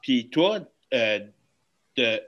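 A man's voice: mid-range voice, sing-song, very slow, fairly bright tone, lo-fi sound. A man hosting a casual podcast, friendly and hesitant, with many fillers. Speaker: podcast host.

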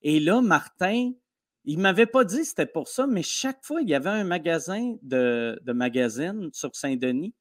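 Québécois comedian man, slightly high voice, lively rambling storyteller. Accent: Québécois French. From Quebec. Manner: animated storytelling, fast with restarts. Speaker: comedian guest. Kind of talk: casual podcast interview.

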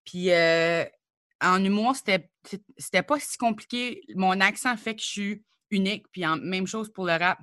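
Bright, chatty Acadian woman. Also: medium pitch, no echo, studio quality. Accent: Acadian French. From New Brunswick, Canada. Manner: chatty, animated, quick asides. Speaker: comedian and rapper, guest. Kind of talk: casual interview chat.

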